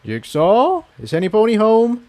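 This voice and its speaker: A man voicing a busy, courteous, absent-minded male pony townsman in a storybook, with a friendly, hurried manner. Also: high voice, huge pitch swings, warm tone, voice pushed up. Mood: brisk, friendly.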